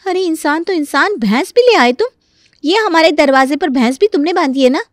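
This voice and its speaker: A sharp-tongued married landlady acted by a woman in a comic cartoon story. Her voice is high and exclamatory, scolding with indignation. It is recorded very dry. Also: animated, moderate pace, no echo. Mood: outraged. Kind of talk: Hindi comic story dramatization.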